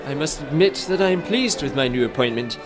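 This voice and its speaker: A man playing a formal Renaissance gentleman. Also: a little high, expressive, a little fast, over music, close to an everyday voice. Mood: cheerful. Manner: formal old-fashioned speech. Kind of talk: radio play acting. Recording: echoey room with long reverb.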